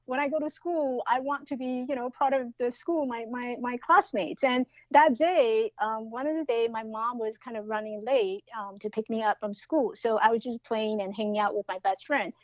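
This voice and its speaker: A woman's voice: a little high, fairly even intonation, moderate pace, few pauses, no room sound, dull audio. A woman, telling a personal story as a podcast guest in an earnest way, often restarting her phrases. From Taiwan. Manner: earnest, with frequent restarts and fillers.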